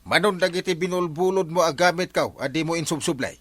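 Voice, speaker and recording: A man's voice: on the high side, brisk, no room sound, lightly acted. A man with an Ilocano accent playing a reproachful friend fed up with a borrower, in a colloquial radio drama.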